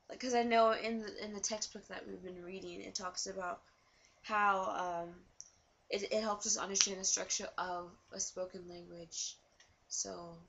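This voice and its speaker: A young woman student interviewing someone for a class, asking halting questions with many fillers. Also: medium pitch, fairly bright tone, lo-fi sound. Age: young adult.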